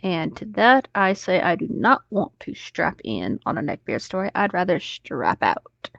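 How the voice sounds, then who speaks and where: A woman's voice: fairly low pitch, sing-song, a little slow, gravelly, fairly bright tone, no room sound. A woman co-hosting a podcast, talking casually and a little hesitantly over a slightly muffled online call. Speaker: podcast co-host. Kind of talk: casual group chat, hesitant phrasing.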